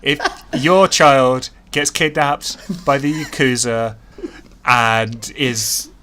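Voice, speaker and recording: A man's voice: medium pitch, very slow, sharp tone. A man co-hosting a casual comedic podcast, making dry, wry quips and laughing often. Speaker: podcast co-host.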